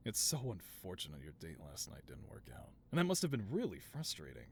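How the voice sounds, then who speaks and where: Man acting as evil scientist boss, slightly low pitch, highly animated intonation, theatrical, doting, reassuring, audio drama. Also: brisk, creaky, a little hiss or hum, exaggerated voice. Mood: fond, reassuring. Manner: doting, theatrical, softly reassuring then grandiose. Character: affable, theatrical supervillain mad scientist boss, devoted to assistant. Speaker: voice actor. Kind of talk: scripted audio roleplay, one-sided conversation.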